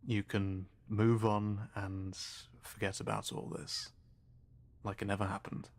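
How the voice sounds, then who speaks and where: A man voicing a calm, coldly polite male scientist interrogator in a tabletop game, speaking softly with quiet menace. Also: fairly low pitch. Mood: coaxing. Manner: slow, soft-spoken, quietly menacing.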